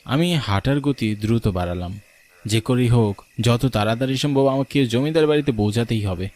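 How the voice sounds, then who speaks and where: A man's voice: mid-range voice, moderate pace, darkish tone. A man reading a scripted first-person story as its narrator. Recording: clearly noisy, rain and thunder behind. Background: rain and thunder sound effects.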